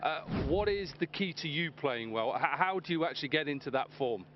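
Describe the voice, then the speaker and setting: Fast, jokey male cricket pundit. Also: fairly high pitch, animated, slight background noise. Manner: brisk, jokey and chatty. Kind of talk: pitch-side interview. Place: outdoors at a cricket ground, pitch side. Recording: slightly dull outdoor broadcast audio.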